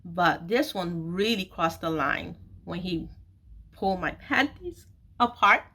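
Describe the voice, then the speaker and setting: A woman's voice: lowish voice, expressive, slow, pushed and bright. Emphatic woman telling her own story. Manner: emphatic, repeats phrases for stress; candid, confiding. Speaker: host of an online video series, author telling her own story. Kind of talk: personal storytelling to camera.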